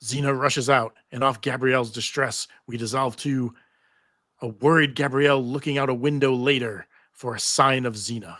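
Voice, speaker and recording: A man's voice: medium pitch, fairly even intonation, normal speed, bright, forceful tone, dry recording, studio quality. A man reading TV script stage directions aloud at a live table read, theatrical with wry asides. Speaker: table read narrator and panel host. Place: live convention panel.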